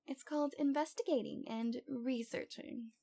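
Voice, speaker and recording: High girlish voice, woman acting as playful yandere stalker girl, lovesick, pouty, dramatic, unrepentant, audio roleplay. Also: fairly even intonation, moderate pace, mellow. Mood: self-righteous, defensive.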